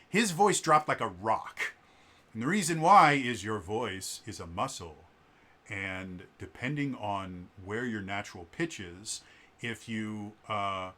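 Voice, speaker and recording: A man with a bass voice but a bright, forceful edge to his tone, talking casually to his vlog audience. He explains at length, leaning on fillers and catching on stuttered sounds now and then. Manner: explanatory, chatty, with many fillers. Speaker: vlogger.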